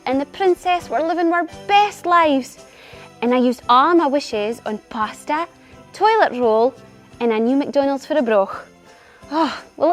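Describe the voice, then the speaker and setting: A woman playing a wry, chirpy genie of the lamp in a pantomime, with a North-East Scottish accent. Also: sits high. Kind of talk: comic pantomime monologue to the audience.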